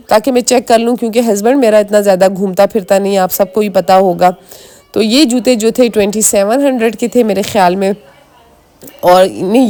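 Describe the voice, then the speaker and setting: A hoarse woman vlogger in her thirties, from Pakistan, talking in a chatty and easy way straight to her viewers. Her pitch sits in a plain middle range. Her voice is a little rough from a sore throat. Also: dark tone. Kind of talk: casual vlog narration.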